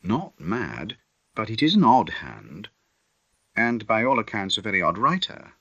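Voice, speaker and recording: Audiobook clerk, played by a male reader: deferential, careful, hesitant, husky edge, very lively pitch. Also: measured pace, dry recording, highs cut off, full character voice. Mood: thoughtful. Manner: hesitant, polite, formal. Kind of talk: audiobook character acting.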